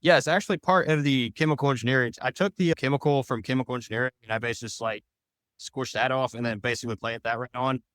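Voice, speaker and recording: Fast-talking man, clear smooth voice. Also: medium pitch, fairly even intonation, a bit bright, no echo. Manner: rambling, loosely phrased explanations. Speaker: podcast guest, audio drama creator. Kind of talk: casual interview answers.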